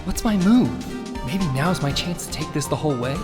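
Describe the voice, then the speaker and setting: A man's voice: a little high, lively intonation, moderate pace, music in the background, natural voice. A man playing an earnest young defense attorney in a courtroom game, cocky yet nervous, shifting between dramatic declarations and wry asides. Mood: wry, musing. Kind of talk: dubbed courtroom drama video game acting.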